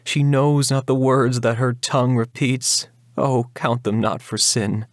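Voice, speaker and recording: A man's voice: mid-range voice, steady tone, unhurried, dry recording, studio quality, natural voice. A man voicing a pious older mother, tender and admonishing, imploring in a prayerful way in a dramatic ballad reading.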